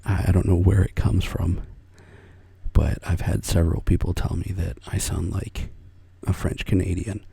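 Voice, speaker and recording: A low, gravelly American man speaks softly and close, his voice very breathy and husky, often sinking to a whisper. The tone is dark and mellow, and the sound is dry with no room around it. He wanders from thought to thought, correcting himself with small soft chuckles. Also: level tone, normal speed, no echo. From United States. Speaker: ASMR creator. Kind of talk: soft-spoken ASMR rambling chat.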